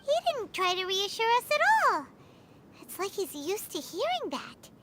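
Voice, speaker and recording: A woman voicing a tiny, excitable fairy-like game companion with a squeaky, childlike and chatty voice. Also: normal speed, very noisy. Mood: frightened, pouting. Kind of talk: English video game voice acting. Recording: loud game music behind.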